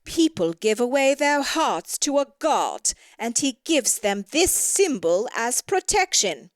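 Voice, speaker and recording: A woman's voice: high-pitched, sing-song, slow pace, dry recording, studio quality, voice pushed up, exaggerated voice. A woman voicing an imperious, cold snow queen in a storybook tale, haughty and commanding. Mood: alarmed discovery. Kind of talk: storybook character voice in audio theatre.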